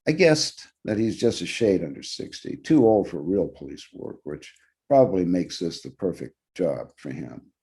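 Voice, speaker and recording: Actor guy reading a short story out loud, real deep mellow voice, measured and dramatic first person narration. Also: animated.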